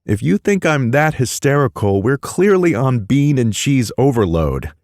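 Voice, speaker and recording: A man's voice: medium pitch, big pitch swings, normal speed, darkish tone, no echo, studio quality, voice pushed up. A man doing a wisecracking young college guy. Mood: bantering. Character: young college man, joking yet guarded. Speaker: college student.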